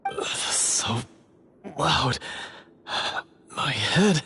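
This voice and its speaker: A man's voice: on the high side, sing-song, drawn-out words, bright, forceful tone, heavily acted. A man voicing an exhausted, aching fellow in a video game, groggy, groaning and pleading. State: groggy, in pain. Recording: faint background noise.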